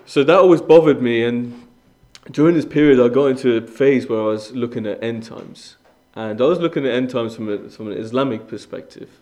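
A man's voice: mid-range voice, brisk, a little mellow. A young man from Iran speaking as a guest preacher, sharing a personal testimony in an earnest, conversational way with frequent fillers and restarts.

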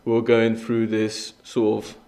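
A man's voice: fairly low pitch, monotone, measured pace, darkish tone. Earnest young man giving a sermon. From Iran. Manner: earnest, conversational, with frequent fillers and restarts. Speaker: guest speaker. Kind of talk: sermon with personal testimony.

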